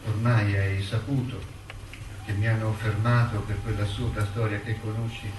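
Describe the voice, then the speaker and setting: An actor playing a jailed intellectual in a radio drama, dignified and wry, speaking in measured, deliberate phrases with weighty pauses. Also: male voice, on the low side, level tone, close to an everyday voice. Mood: sorrowful, resigned. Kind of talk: stage-style radio drama, letter and courtroom dialogue. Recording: roomy, distant, noisy theatre-like recording.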